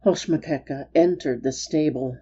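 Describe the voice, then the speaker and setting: A woman's voice: low voice, normal speed, warm tone, husky, muffled recording. A woman storyteller giving a dramatic reading of a story.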